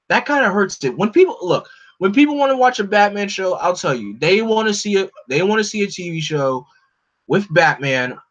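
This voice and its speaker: A man hosting a casual live stream, talking in an opinionated, animated way that jumps up in pitch when he gets excited. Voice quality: no regional accent. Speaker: streamer host. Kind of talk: casual chat to a live audience. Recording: dull lo-fi home stream audio.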